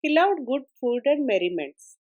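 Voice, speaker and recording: A woman's voice: sits high, sing-song, moderate pace, a little mellow, lo-fi sound. A woman narrating a scripted story as a storyteller.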